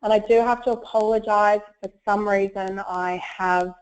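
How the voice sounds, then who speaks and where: A woman with a croaky voice from a cold, giving an online presentation with frequent fillers. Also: medium pitch, fairly even intonation, moderate pace. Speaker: webinar presenter, solutions consultant. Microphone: web conference audio. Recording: muffled lo-fi web call audio.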